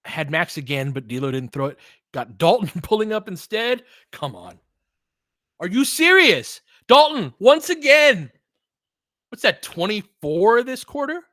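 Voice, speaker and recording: A young man doing live play-by-play commentary, excitable, with quick asides and frequent laughs. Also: high-pitched, dry recording, clean studio sound. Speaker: fan play-by-play host.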